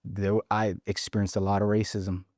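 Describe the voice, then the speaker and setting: Guy from hawaii, forties, low mellow voice, chatty and candid, dry close mic. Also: little pitch movement, normal speed, dry recording. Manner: repeats words and restarts, frank and candid. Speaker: podcast guest. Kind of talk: casual interview storytelling.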